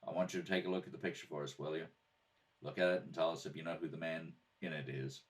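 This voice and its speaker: A man playing a calm, patient police detective in an old-style radio drama, matter-of-fact and deadpan. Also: rumbling low, fast talker, pitched below the performer's own voice. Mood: calm, probing. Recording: distant mic in a home kitchen.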